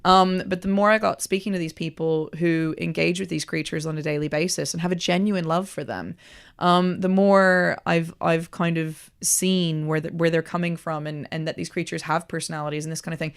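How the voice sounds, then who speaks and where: A woman film producer chatting as a podcast guest, talkative and loose, with frequent pauses and restarts. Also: deep voice, steady tone, clear, resonant tone. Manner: chatty, many ums and restarts. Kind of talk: casual interview chat.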